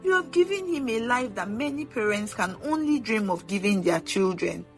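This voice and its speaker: A woman's voice: mid-range voice, lively intonation, moderate pace, soft background music, lightly acted. A woman voicing an elderly Nigerian grandmother in a folktale, firm, wise and given to exclamations. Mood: calm, firm. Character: elderly Nigerian grandmother, calm, firm, proverb-speaking, easily shocked.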